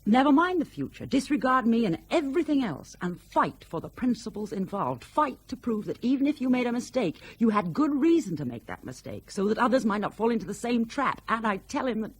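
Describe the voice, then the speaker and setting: An actress playing a proud, guarded professional woman, brisk and earnest, in a radio drama. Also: on the high side, big pitch swings, husky. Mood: defensive, earnest.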